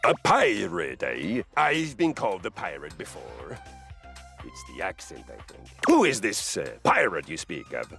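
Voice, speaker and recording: An actor playing a boastful, swaggering male warrior who coaches fitness, in a booming, theatrical game voice. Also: huge pitch swings, a little slow, gravelly, fairly bright tone, soft background music, exaggerated voice. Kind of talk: game voice acting.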